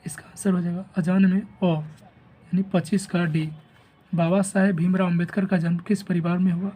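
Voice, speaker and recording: A man with a sore throat and a rough voice, steadily reading exam questions and giving each answer and option like a teacher. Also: high voice, little pitch movement, normal speed, warm tone, low-quality recording. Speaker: teacher going over an exam answer key.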